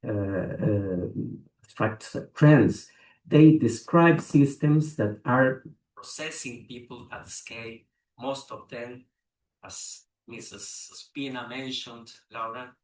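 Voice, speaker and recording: A man delivering a formal prepared speech at a conference, measured, with a few hesitant restarts. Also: medium pitch. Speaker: conference speaker. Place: large conference room. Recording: echoey room, distant mic.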